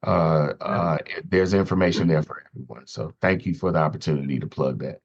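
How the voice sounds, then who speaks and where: A man's voice: rumbling low, a little fast, vocal fry, dull audio. A man speaking as a podcast guest, polite and affirming, with frequent uh fillers. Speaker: podcast guest, collections director. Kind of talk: casual interview chat.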